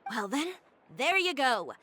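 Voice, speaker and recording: A woman's voice: high-pitched, sing-song, measured pace, pushed and bright, a little hiss or hum, exaggerated voice. A woman playing a proud, self-assured young female fashion designer in a game, with a curt, dry, confident delivery. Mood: unimpressed. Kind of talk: animated game voice acting.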